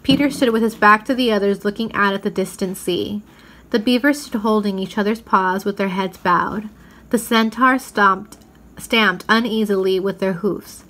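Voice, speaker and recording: A woman's voice: mid-range voice, normal speed, fairly bright tone, low-quality recording. Woman reading a storybook expressively. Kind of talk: expressive scripted storybook narration.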